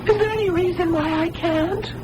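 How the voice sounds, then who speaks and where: An actress playing an earnest, trusting young woman in an old-time radio drama, with an expressive, dramatic delivery. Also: extremely high pitch, moderate pace. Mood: tearful, pleading. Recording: noisy old radio broadcast.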